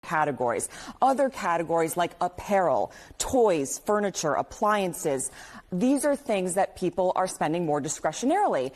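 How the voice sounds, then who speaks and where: A woman's voice: on the low side, sing-song, moderate pace, a little mellow. A woman reporting business news live, explaining economic figures in an emphatic way.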